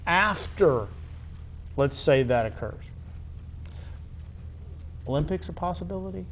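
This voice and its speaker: A middle-aged American man conducting a conversational sports interview, halting and wordy, often repeating phrases. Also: on the high side, expressive, slight background noise. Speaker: interviewer, high school athletic director.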